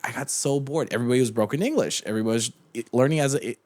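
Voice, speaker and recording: A man's voice: medium pitch, very fast, darkish tone. A young man talking as a podcast guest in casual chat, rambling with many restarts and fillers. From United States.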